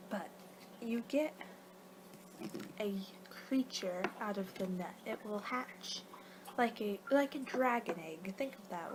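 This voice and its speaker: Female ASMR performer as a laid-back vampire guide: mid-pitched, soft to whispery, rambling delivery, noticeably noisy recording. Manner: rambling, halting, losing her train of thought. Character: young-for-her-kind female vampire guiding a client, casual, a little exasperated. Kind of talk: soft-spoken ASMR roleplay, partly whispered.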